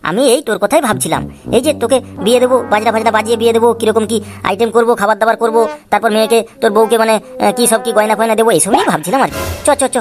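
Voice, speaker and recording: A woman's voice: fairly high pitch, very fast, few pauses, close to an everyday voice. A woman voicing an exasperated, foul-mouthed, sly father in a comic cartoon, grumbling and wheedling. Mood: coaxing, placating. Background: music.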